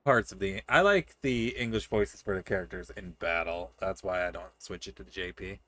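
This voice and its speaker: A man's voice: deep voice, normal speed, fairly bright tone, dry recording. A man giving casual live commentary as he streams a game, making wry asides. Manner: reads game dialogue aloud, with wry asides. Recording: music behind the voice. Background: game music.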